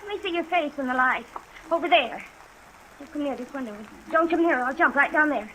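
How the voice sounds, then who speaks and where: A woman's voice: sits high, animated, a little fast, a bit bright, poor audio. A woman acting a desperate, distrustful, agitated young woman in a 1950s radio drama. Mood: wary, bitter. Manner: agitated, defiant, suspicious. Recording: vintage radio recording, rain noise behind.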